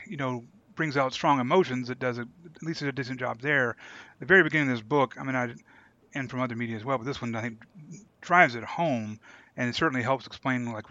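A man with a bright, forceful voice gives his opinions as a podcast co-host. His casual delivery is halting and deliberate, and the sound is dry and slightly muffled. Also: mid-range voice, no room sound. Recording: dull, lo-fi close microphone.

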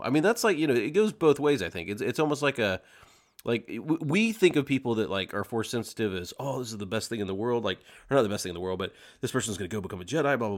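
A man's voice: mid-range voice, fairly bright tone. A man co-hosting a podcast, talking through a book casually with friends in a rambling, slangy way. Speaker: podcast co-host.

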